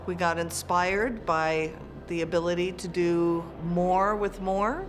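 A middle-aged woman chats in an upbeat, conversational way about her work, her voice a little lower than most. she sounds like she is talking in a quiet indoor booth. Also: steady tone, takes it slowly. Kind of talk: documentary interview.

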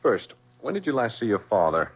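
An American actor playing a businesslike, persistent homicide lieutenant in a radio drama, measured and probing. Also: male voice, on the low side, big pitch swings, vocal fry, breathy edge, heavily acted. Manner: measured, probing, matter-of-fact. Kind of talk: 1970s radio drama acting. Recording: muffled vintage radio broadcast.

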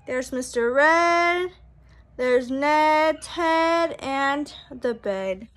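A young woman, a preschool teacher, reading a picture book aloud to small children in an animated, sing-song, exaggerated way. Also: sits high, very slow. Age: young adult. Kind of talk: read-aloud story time for small children. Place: small room at home, indoors. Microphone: phone at arm's length.

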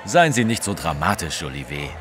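An actor playing a courteous, competitive English reporter with a formal, proper manner, in a German audio drama. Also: male voice, deep voice, sing-song, brisk, fairly bright tone, soft background music. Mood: brisk, competitive. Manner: formal, polite, slightly proper.

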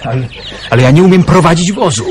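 An actor playing a hesitant, war-weary young resistance man in a radio drama, halting and repeating his words. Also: on the high side, expressive, darkish tone, a little hiss or hum, lightly acted. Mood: alarmed, stubborn. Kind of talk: Polish radio drama acting.

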